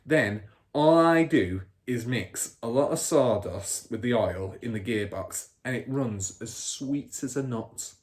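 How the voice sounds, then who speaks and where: Guy doing a rude dodgy car salesman dad from a kids book, braggy, scornful, really animated. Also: mid-range voice, slow, hardly any pauses. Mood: sly boasting. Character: boastful crooked middle-aged male car dealer, rude, sneering father.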